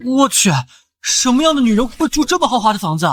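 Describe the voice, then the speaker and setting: A man's voice: very high voice, animated, quick pace, a bit bright, heavily acted. A cheeky flustered young man in an anime drama, voice jumps all over, theatrical. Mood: shocked. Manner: theatrical, exclamatory. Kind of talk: animated drama acting with inner monologue.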